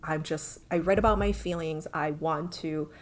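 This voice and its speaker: A woman's voice: deep voice, steady tone, moderate pace. A woman co-hosting a podcast, chatting in a thoughtful, casual way with lots of filler words. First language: not Korean. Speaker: podcast co-host. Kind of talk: casual chat.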